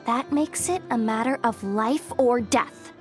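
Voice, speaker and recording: A woman's voice: on the high side, a little slow, faint music behind, natural voice. An actress playing a breezy, self-assured, streetwise young woman in a video game, talking in a casual, teasing, slangy way. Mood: teasing, laid-back. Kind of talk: video game character acting.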